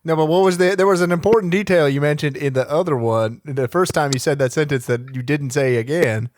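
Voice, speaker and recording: Puzzled deadpan guy for comedy table read male actor, slightly high voice, dry recording. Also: fairly quick, no echo, natural voice. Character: bewildered father and swaggering suitor in a melodrama.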